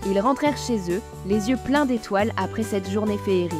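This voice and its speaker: A woman narrating a children's Christmas story in a gentle storytelling manner. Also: medium pitch, brisk. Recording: music bed behind narration.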